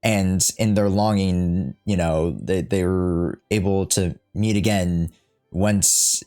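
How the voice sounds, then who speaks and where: A man's voice: low voice, fairly even intonation, takes it slowly, dark tone, soft background music. A man livestreaming a casual, slangy reaction to a game, joking and rambling as he goes.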